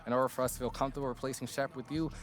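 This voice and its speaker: A man's voice: mid-range voice, level tone, racing, close to an everyday voice. A man playing a blunt, pedantic business executive in a video game cutscene, curt and condescending in his precise speech. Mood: dry, businesslike.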